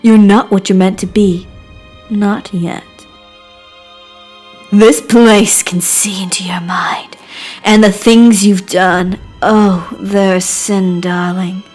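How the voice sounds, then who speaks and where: A woman voicing an obsessive, lovesick madman, theatrical and crooning, with breathy whispers, in a game character reel. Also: medium pitch, fairly even intonation, slow, darkish tone, soft background music. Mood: ominous, intimate. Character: obsessive lovesick madman, tender then menacing suitor.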